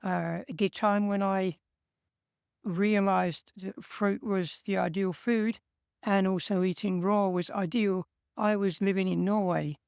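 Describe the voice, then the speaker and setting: A man from England with an English accent, co-hosting a casual chat and giving steady, earnest encouragement. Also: high-pitched, slow pace, vocal fry, smooth, no echo, studio quality. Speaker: co-host.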